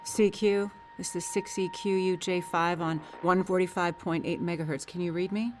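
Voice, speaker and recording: An actress playing an isolated, desperate woman survivor calling over the radio in an audio drama, fragile and halting. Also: fairly low pitch, steady tone, background noise, close to an everyday voice. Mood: urgent, searching.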